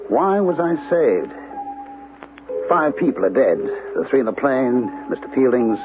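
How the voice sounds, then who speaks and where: An actor playing an anxious male writer who keeps asking why he survived, in a vintage radio drama. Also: mid-range voice. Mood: troubled. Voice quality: brooding, repeats questions insistently. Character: anxious male author obsessed with his survival. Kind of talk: 1970s radio drama acting. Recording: tinny old radio broadcast with music.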